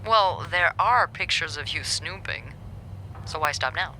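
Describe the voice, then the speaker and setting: A woman's voice: low-pitched, lively intonation, unhurried, sharp tone, slight background noise, exaggerated voice, poor audio. A woman playing a sly, teasing, self-assured hotel receptionist in a video game, with a wry, confident delivery. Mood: wry, persuasive.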